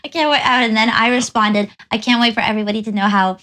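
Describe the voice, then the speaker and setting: A woman's voice: fairly high pitch, steady tone, fast talker, pushed and bright. A woman co-hosting a casual podcast from a hotel room, playful and teasing, laughing often. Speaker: podcast co-host. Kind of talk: casual chat. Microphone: different travel microphone.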